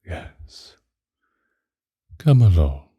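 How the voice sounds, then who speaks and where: Man playing a very deep, doting vampire lover. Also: sing-song, no echo, clean studio sound, full character voice. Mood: adoring, intimate. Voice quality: very deep, dark, husky, breathy, often whispering. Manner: slow, intimate, old-fashioned romantic wording. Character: possessive, doting ancient male vampire lover. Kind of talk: ASMR roleplay monologue. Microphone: close microphone, dry.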